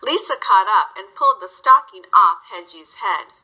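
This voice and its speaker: A woman's voice: medium pitch, animated, normal speed, bright, forceful tone, husky, muffled recording. A woman reading a story aloud to young children, guiding them with simple questions.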